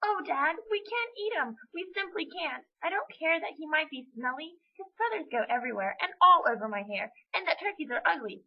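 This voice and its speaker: Woman voicing a whiny, high-pitched young girl, animated. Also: normal speed, few pauses, lo-fi sound, slight background noise. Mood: pleading. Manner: whiny, complaining, exclamatory. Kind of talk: children's story character reading.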